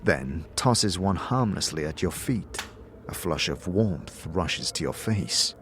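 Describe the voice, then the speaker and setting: Low-pitched man narrating a fantasy story. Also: lively intonation, takes it slowly, a bit bright, slight background noise. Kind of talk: scripted second-person fantasy story narration. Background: faint music.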